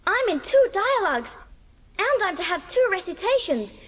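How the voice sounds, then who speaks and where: Very high-pitched, gushing young girl. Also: very animated, moderate pace, a bit bright, heavily acted. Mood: excited, proud. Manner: breathless, theatrical, earnest. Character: excitable, gushing, dramatic 11-year-old orphan girl. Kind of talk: dramatised audiobook acting.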